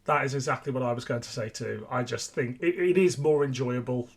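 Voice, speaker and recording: A man's voice: medium pitch, fairly quick, husky. A man hosting a podcast in an informal, chatty, conversational way. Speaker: podcast host. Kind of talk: casual chat.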